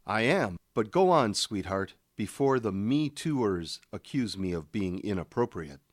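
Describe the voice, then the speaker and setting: A man's voice: fairly low pitch, big pitch swings, a little slow, no room sound, clean studio sound. A man playing a smug, sexist fundamentalist news reporter, condescending and bombastic, in a radio drama parody.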